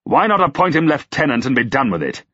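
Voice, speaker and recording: A man's voice: medium pitch, big pitch swings, moderate pace, a bit bright, slightly rough, no room sound, lo-fi sound, voice pushed up. A man voicing an imperious, suspicious medieval duke with archaic, commanding, sardonic speech in an audiobook. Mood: angry, sneering. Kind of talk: dramatised audiobook dialogue.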